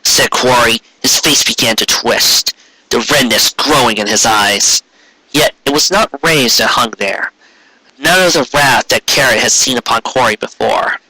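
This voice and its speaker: A man's voice: mid-range voice, measured pace, sharp tone, breathy edge, dry recording, poor audio. A man narrating a fan fiction story in a dramatic amateur reading style.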